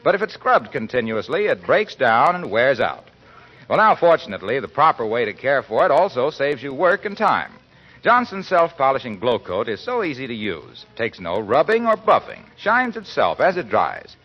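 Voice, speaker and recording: A man announcing in scripted 1940s radio style, polished and persuasive like a genial pitchman. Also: very animated, moderate pace, slightly rough. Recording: muffled old 1940s radio recording.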